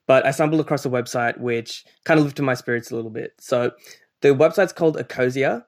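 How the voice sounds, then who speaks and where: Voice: mid-range voice, a little fast. A co-host on a casual podcast, talking in an enthusiastic, conversational way with fillers like "you know".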